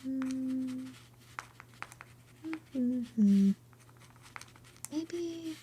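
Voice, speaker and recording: A woman plays a bubbly young childhood friend with a fairly high voice, recorded very dry. She banters and teases playfully, chatting casually as if to one listener in an ASMR roleplay. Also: breathy edge, no echo, full character voice. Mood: thoughtful, musing.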